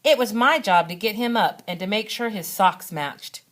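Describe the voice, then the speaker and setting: A woman reading a children's storybook aloud like a schoolteacher, with expressive, dramatic narration and deliberate phrasing. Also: medium pitch. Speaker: second grade teacher reading aloud.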